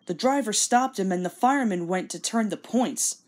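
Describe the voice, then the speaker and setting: A woman's voice: mid-range voice, expressive, normal speed. A woman reading a children's story aloud as scripted narration. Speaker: storybook reader.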